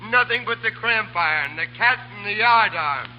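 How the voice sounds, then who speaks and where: A man's voice: high voice, animated, normal speed, a little hiss or hum. An actor playing a blustering pirate crewman who rouses the crew toward mutiny in a 1930s radio play. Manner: blustering, crowd-rousing. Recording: dull 1930s radio broadcast.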